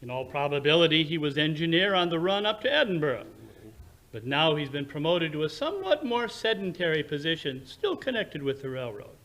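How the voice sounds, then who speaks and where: Gentleman sleuth played by a man, radio drama: high-voiced, very animated, precise, condescending, theatrical, supremely confident. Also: fairly quick, poor audio. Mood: confident deduction. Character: brilliant, self-assured Victorian English gentleman detective. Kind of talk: recreated 1940s radio drama acting.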